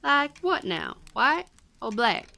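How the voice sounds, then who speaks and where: Woman as elderly granny, American country accent, slow, gravelly, folksy, kindly, shrewd, radio drama. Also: fairly high pitch, very animated, pushed and bright, full character voice. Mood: wistful, coaxing. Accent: American Southern or Western. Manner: slow, folksy, endearments like child and darling.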